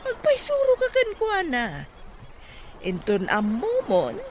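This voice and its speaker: A woman's voice: high-pitched, wildly swooping pitch, a little slow, exaggerated voice. A woman playing a scheming mother in an Ilocano radio comedy-drama. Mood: plotting. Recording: noisy radio drama recording.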